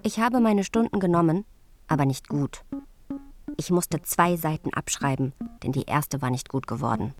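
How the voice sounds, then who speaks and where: An actress playing an earnest, playful young princess in a radio drama, reciting notebooks and letters in a childlike, emphatic way. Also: female voice, low-pitched, moderate pace, faint music behind, natural voice. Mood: pleading, earnest.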